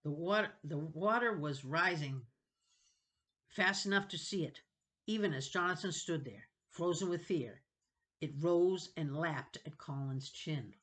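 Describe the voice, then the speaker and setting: A woman's voice: low-pitched, a little slow, fairly bright tone. A woman narrating a children's novel dramatically, reading with expression and suspense. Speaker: storybook reader.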